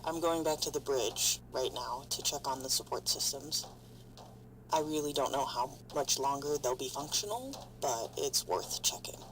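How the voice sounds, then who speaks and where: Low-voiced woman acting a stranded spaceship survivor. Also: slight background noise, low-quality recording. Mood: anxious, frustrated. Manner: halting, weary, swearing. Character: stranded, frightened, foul-mouthed female spaceship crew member recording logs. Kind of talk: audio drama monologue as ship's log.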